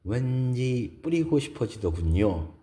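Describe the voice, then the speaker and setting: A man voicing a poised, gracious businesswoman in a romance audio drama, speaking politely and softly with measured elegance. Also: mid-range voice, big pitch swings. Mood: coy, wistful. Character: poised, gracious woman executive in a senior romance.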